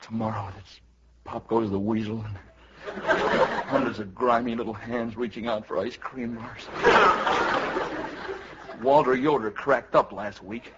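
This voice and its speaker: A man's voice: on the low side, steady tone, measured pace, long pauses, natural voice. An actor playing an anxious, brooding ice cream man and husband in melodramatic 1950s radio comedy style. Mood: brooding dread. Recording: dull, very noisy 1950s radio recording.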